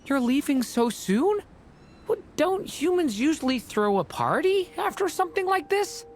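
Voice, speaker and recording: A woman's voice: high voice, sing-song, moderate pace, a little hiss or hum. A woman voicing a young, earnest, grateful non-human female being with an open, wondering manner in a video game. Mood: friendly. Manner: warm-hearted, wondering, open. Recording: game audio with clear music behind.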